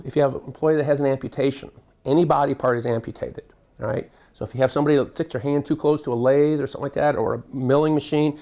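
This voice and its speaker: A man giving a live workplace safety presentation to a room of listeners, with a booming voice and a jokey, conversational manner. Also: gravelly, darkish tone. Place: meeting room with an audience.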